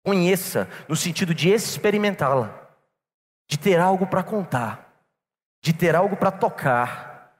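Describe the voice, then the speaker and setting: A man's voice: sits high, expressive, measured pace. A man preaching a sermon to a church congregation, telling a personal story and calling them brothers. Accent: non-local Brazilian. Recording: long reverb in a church hall.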